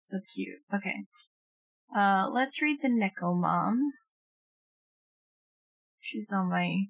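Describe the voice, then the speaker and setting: A woman's voice: mid-range voice, gravelly, dry recording, poor audio. A woman livestreamer chatting casually as she plays a game, on a close mic. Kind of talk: casual game stream chat.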